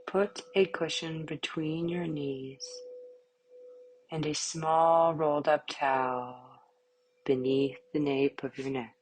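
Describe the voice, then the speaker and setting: A woman guiding a yoga nidra relaxation in a calm, soothing manner, with short, spaced phrases. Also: sits low, slow pace, slight background noise, rough recording. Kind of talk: guided relaxation meditation.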